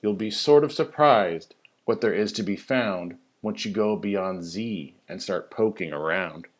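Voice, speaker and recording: A man who works as a teacher reads a children's story in rhyming verse, with an expressive, sing-song delivery that stresses key words. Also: on the low side, unhurried. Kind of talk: animated storybook read-aloud of rhyming verse.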